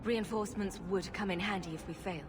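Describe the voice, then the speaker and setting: A woman's voice: medium pitch, fairly quick, over music, close to an everyday voice. An actress playing a humorous, sarcastic female rogue hero with a dry way of speaking. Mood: wry. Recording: game audio over ambient noise.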